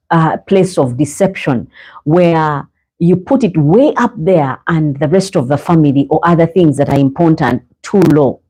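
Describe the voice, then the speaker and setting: A Ugandan woman hosts a live faith podcast, speaking earnestly and preachily straight to her listeners. Her voice sits on the low side, dark and mellow, with a slightly husky edge. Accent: Ugandan English. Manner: earnest, preachy, addresses viewers as guys. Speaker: podcast host. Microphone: own microphone, sometimes adjusted.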